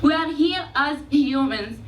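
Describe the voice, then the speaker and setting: Slow high-voiced woman giving a speech. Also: highs cut off. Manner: slow, emphatic, laughing between phrases. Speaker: speaker addressing hackathon participants. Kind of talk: upbeat celebratory speech. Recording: echoey room, far from the mic, crowd noise.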